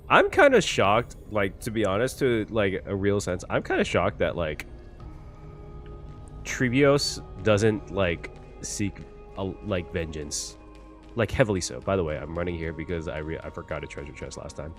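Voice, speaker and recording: Guy streamer thinking out loud, lowish voice, chatty, faint music behind. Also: sing-song, normal speed. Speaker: streamer reacting to a game story. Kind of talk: casual game reaction commentary.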